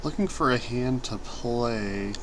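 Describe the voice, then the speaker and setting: A young man talks casually through his online poker hands at a desk mic, rambling and poking fun at himself. His voice sits at an ordinary middle pitch, and he clears his throat now and then. A faint hiss of background noise stays under the recording. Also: slow pace. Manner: relaxed, rambling, self-deprecating, clears throat often. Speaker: poker streamer. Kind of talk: casual commentary over online poker play.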